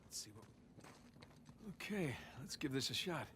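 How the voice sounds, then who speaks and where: A man's voice: medium pitch, animated, measured pace, very noisy, natural voice. An actor playing a wry, adventurous treasure hunter, bantering with his brother.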